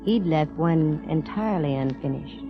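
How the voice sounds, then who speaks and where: An American actress playing a sharp female private eye in 1940s radio drama, explaining things with dramatic, measured pauses. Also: low-pitched. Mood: solemn, explanatory. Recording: dull vintage radio recording with music.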